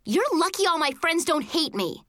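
A hot-tempered big sister of school age, voiced by a woman in a children's story. She yells her accusations in a high, bright voice that swings widely. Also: big pitch swings, normal speed, dry recording, studio quality, exaggerated voice. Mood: accusing. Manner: yelling, accusing.

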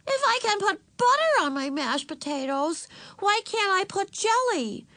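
Sulky small girl played by a female narrator, high, demanding, storybook style, dry recording. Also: very animated, unhurried, fairly bright tone, no echo, full character voice. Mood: stubborn, whiny. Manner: whiny, demanding, interrupting. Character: stubborn, sulky little girl, loud and insistent.

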